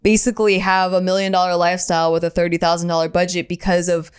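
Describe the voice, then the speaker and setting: A woman's voice: on the low side, flat delivery, fast talker, clean tone. A woman co-hosting a podcast, giving advice in a casual, conversational way. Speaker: podcast co-host.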